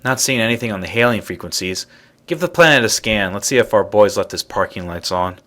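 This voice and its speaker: Low-voiced, brisk spacefaring crewman played by an actor. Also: a bit bright, close to an everyday voice. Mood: matter-of-fact. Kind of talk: video game voice acting.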